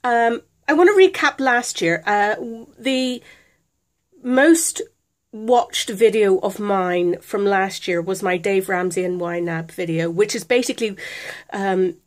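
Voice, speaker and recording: A middle-aged British woman with a chest infection, talking through a casual budgeting tutorial in a chatty, hesitant way, recorded on a camera phone at home. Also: medium pitch. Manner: chatty, hesitant with many ums. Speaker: vlogger.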